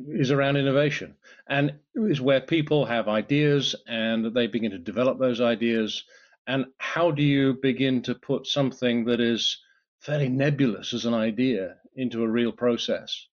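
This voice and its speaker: British man, thoughtful and restarting. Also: medium pitch. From United Kingdom. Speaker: guest, solutions architect. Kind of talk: podcast interview. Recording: slightly dull lo-fi audio.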